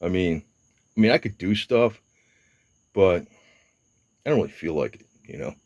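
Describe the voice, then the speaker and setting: An older man in his seventies rambles through a casual video update, full of fillers, restarts and mild swearing. His voice sits very low. The sound is dry, with almost no room echo. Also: lively intonation, moderate pace, darkish tone. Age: 70s. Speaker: motorcycle vlogger. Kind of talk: casual rambling talk to camera.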